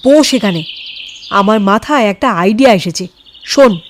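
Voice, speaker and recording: A woman's voice: medium pitch, expressive, measured pace, close to an everyday voice. A woman voicing a self-assured, skeptical young man in an audio story, easygoing, teasing and dismissive. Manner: easygoing, mocking.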